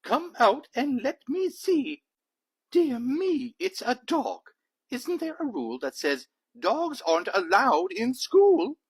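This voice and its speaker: Pompous storybook board president, acted by a male reader: raised pitch, swooping, indignant, scolding delivery. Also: big pitch swings, takes it slowly, slightly rough, full character voice. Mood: fussy, shocked. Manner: haughty, indignant, exclaiming. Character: pompous outraged school board president, an upper-crust lord.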